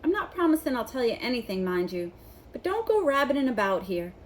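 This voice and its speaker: A woman's voice: on the high side, sing-song, a little fast, a little hiss or hum. A woman voicing a secretive, suspicious big male groundskeeper in a storybook, furtive and blustering. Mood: furtive scolding.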